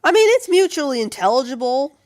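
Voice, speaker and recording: A woman artist chatting casually and with amusement on a livestream while she draws, in a rambling, animated way. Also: high voice, fast talker, dry recording, studio quality. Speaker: comic artist streaming while drawing.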